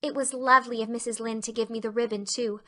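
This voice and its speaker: Woman voicing an excitable, bright, high-voiced young girl. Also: fast, close to an everyday voice. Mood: rapturous, earnest. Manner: gushing, effusive, breathless exclamations. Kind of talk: audiobook character acting.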